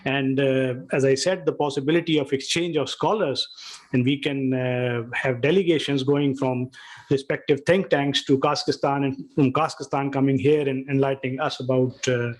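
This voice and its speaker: A man, head of a think tank, giving formal concluding remarks in an earnest, halting way. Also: mid-range voice, steady tone, low-quality recording. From Pakistan. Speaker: think tank acting president.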